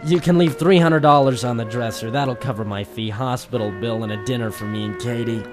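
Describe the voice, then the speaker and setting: An actor playing a weary, lovestruck, wisecracking private eye in an old-time radio detective drama. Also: male voice, medium pitch, fairly quick, music in the background, lightly acted. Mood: exasperated, wry.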